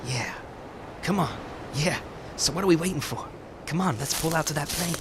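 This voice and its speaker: An actor playing a jumpy, blustering, slangy tough crook in an old-time radio drama. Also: male voice, on the high side, normal speed, close to an everyday voice. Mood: impatient. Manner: slangy, blustering, stammering when rattled. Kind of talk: 1940s radio drama acting. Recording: clear background noise.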